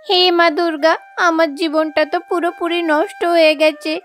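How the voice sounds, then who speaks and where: Woman acting meek young wife, high-pitched, sorrowful, pleading, devout, cartoon fairy tale, music behind. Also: flat delivery, moderate pace, fairly bright tone. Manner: pleading, plaintive. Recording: background music under the voice.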